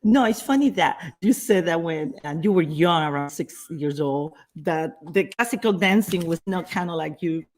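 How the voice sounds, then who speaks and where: Enthusiastic, slightly low-voiced woman interviewer. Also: animated, moderate pace, hardly any pauses, a little mellow. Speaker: interview host. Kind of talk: conversational interviewing.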